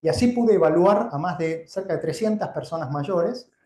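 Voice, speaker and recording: Male Argentine lecturer, informal and explanatory, mellow timbre, clearly reverberant room. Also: on the high side, lively intonation, moderate pace. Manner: conversational, didactic, frequent check-in questions. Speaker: doctor giving a keynote lecture. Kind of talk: informal public lecture to a live audience. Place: indoor lecture venue before an audience. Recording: roomy, echoing live lecture sound.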